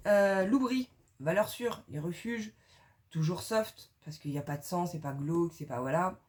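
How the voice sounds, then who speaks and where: A woman's voice: on the low side, moderate pace, darkish tone. Young adult woman, book vlogger, chatty and informal, filler-heavy. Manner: chatty, slangy, many fillers. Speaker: book reviewer. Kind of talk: casual video book recommendations.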